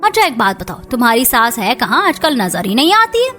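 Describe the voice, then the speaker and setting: A woman's voice: a little high, sing-song, a little fast, pushed and bright, soft background music. A woman voicing a chatty, effusive middle-aged neighbour auntie who teases and pries in a cartoon story. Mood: teasing, nosy. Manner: effusive, nosy. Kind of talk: Hindi cartoon dialogue acting.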